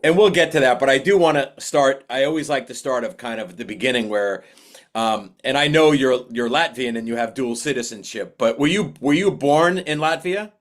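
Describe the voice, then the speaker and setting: A man's voice: mid-range voice, moderate pace. Guy hosting a podcast, laid back, jokes about himself a lot. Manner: chatty, self-deprecating, laughs easily. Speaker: podcast host. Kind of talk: casual interview chat.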